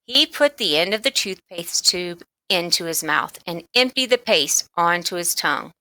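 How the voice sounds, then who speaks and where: Bright-voiced woman reading a children's story. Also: on the low side, expressive, moderate pace, no echo, poor audio. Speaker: storybook reader. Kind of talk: scripted read-aloud narration for children.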